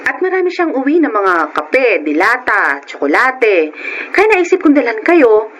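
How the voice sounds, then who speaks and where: A woman's voice: a little high, big pitch swings, a little slow, slight background noise. An actress playing a kind, generous woman teacher in a Filipino radio drama, with a Filipino accent. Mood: warm, modest.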